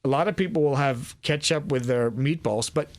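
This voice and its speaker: A man's voice: medium pitch, moderate pace. A young man from Sweden, a podcast guest, talking casually with many restarts and fillers. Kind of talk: casual interview chat.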